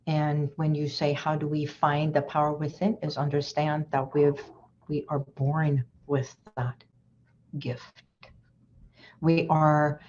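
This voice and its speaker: A woman's voice: sits low, a little mellow, lo-fi sound, slight background noise. A woman hypnotherapist giving an earnest, reflective inspirational talk as a guest in an online session, repeating her key phrases.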